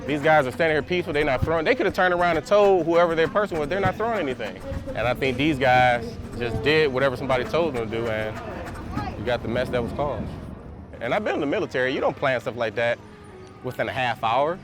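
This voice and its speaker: A man speaks in a plain, reflective tone of ordinary pitch. He is being interviewed outdoors on a city street. Music runs under the clip. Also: expressive, quick pace. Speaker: protester. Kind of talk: interview testimony.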